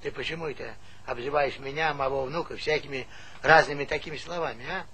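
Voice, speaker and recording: A man's voice: medium pitch, steady tone, brisk, bright, forceful tone, breathy edge. An actor playing a talkative, stubborn old village grandfather in a stage comedy, folksy and argumentative, repeating himself. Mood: indignant. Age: older. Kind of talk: theatrical stage comedy acting. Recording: noisy 1970s theatre play recording.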